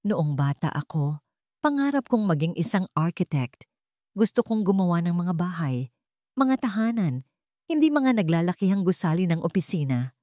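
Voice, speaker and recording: A woman voicing a wounded, wistful young businessman in a storybook drama, speaking softly and confidingly. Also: on the low side, very animated, normal speed, no echo, clean studio sound. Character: wealthy young businessman, wounded, wistful, bitter about duty.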